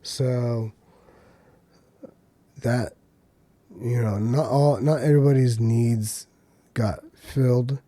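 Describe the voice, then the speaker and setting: A man's voice: mid-range voice, fairly even intonation, slow pace, long pauses, dark tone, no echo. A man hosting a podcast, talking through the week's news in a loose, conversational way with many ums and uhs. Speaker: podcast host. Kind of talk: solo casual commentary.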